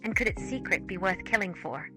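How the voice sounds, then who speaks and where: A woman introduces mystery stories in scripted narration. Her voice sits at medium pitch, with music clearly playing behind her. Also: lively intonation, brisk. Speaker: host introducing radio stories. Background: prominent music.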